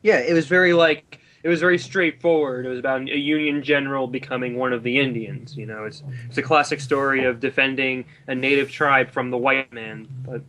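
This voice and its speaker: A man's voice: medium pitch, fairly even intonation, brisk, hardly any pauses, pushed and bright. A man chatting casually about films and books over a voice call. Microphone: voice call on a computer. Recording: noisy internet voice call with clicks.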